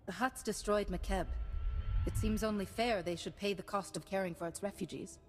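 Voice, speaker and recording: An actress playing a calm, principled government agent in a science-fiction video game, speaking in a measured, firm, diplomatic way. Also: female voice, medium pitch, lightly acted. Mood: firm, reasoning. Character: composed, principled female Republic agent, calm and confident. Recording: long hall reverb, music behind.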